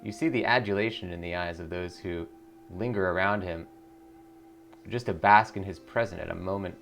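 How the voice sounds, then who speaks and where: A man's voice: low voice, moderate pace, vocal fry, a bit bright. A man playing through a video game as a streamer, reading its narration aloud and commenting. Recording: music behind the voice.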